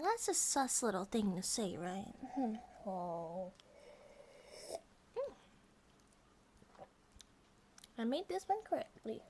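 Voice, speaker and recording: A woman acts out a grizzly bear monster-girl wife in an intimate ASMR roleplay. Her voice is fairly high and cutesy. She rambles softly to her partner, childlike, affectionate and a little possessive, at times slipping toward a whisper. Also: slight background noise, heavily acted. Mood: curious, casual. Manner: cutesy, rambling, giggly, sometimes dropping to a whisper.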